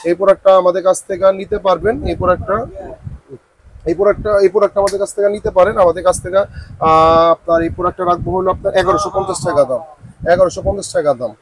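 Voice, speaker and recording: Male Bangladeshi shop salesman, high husky voice, informal showcase delivery with repeated price patter. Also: steady tone, racing, rough recording. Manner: repetitive sales patter, some English words. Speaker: shop salesman presenting products.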